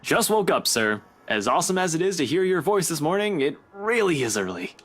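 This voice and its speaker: Young police detective, husky, animated, hot-headed, defiant, game voice acting. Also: male voice, a little high, fairly quick. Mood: groggy, polite. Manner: indignant, pleading. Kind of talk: visual novel voice acting.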